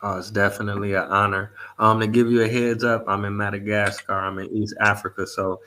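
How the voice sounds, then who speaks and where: Flat, bright-toned male podcast host. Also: on the low side, brisk. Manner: polite, formal, courteous. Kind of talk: interview hosting.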